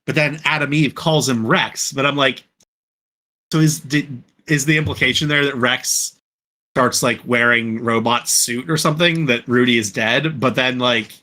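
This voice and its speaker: A man in a casual podcast chat, talking in an animated way and thinking aloud with questions. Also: medium pitch, normal speed. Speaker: podcast co-host.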